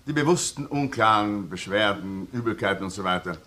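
Formal Austrian doctor on the witness chair, crime drama: lowish, forceful, faint noise. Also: male voice, animated, a little fast. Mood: disapproving. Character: formal, disapproving male doctor giving witness testimony.

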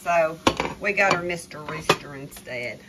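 An older woman chatting casually from behind a phone camera in a home kitchen, gently coaxing a child with tag questions while filming. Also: deep voice, animated, unhurried, very noisy. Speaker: vlogger filming a grandchild cooking. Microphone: phone camera held by the speaker. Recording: kitchen clatter of pots and dishes.

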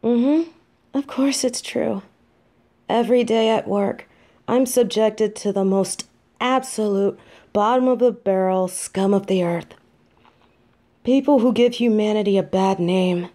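An actress playing an affectionate, tired demon girlfriend in an audio roleplay, talking softly and close. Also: mid-range voice, unhurried, natural voice.

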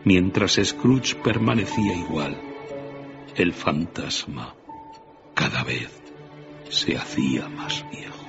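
A man narrating a radio drama as a grave storyteller, measured and dramatic. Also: low-pitched, big pitch swings, vocal fry, full character voice. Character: grave storyteller of a ghost tale. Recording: dull radio recording, music behind.

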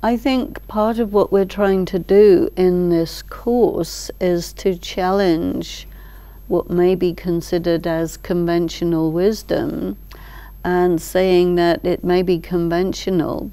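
An older woman explaining ideas thoughtfully as an interview guest, in short measured phrases with deliberate pauses. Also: on the low side, fairly even intonation, mellow. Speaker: expert guest, teacher. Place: large library hall, indoors. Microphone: lapel mic.

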